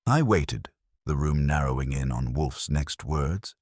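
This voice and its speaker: A man narrating a detective story in a measured, dramatic literary style, as for an audiobook. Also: very deep voice, animated, a little mellow, husky, no room sound, studio quality. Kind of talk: scripted first-person detective story narration.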